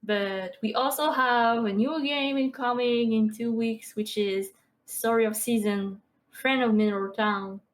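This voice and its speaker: A woman in her twenties with a Québécois French accent, a guest on a podcast, chatting casually with many fillers and soft laughs. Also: a little high, fairly even intonation, a little slow, rough recording. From Quebec. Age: 20s. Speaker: podcast guest, streamer and artist. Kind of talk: casual interview chat.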